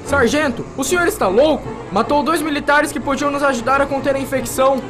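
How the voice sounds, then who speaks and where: Man acting an alarmed, very high-voiced young soldier. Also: a little fast, over music. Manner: alarmed, pleading. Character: anxious young male soldier, earnest subordinate. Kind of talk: amateur radio drama acting.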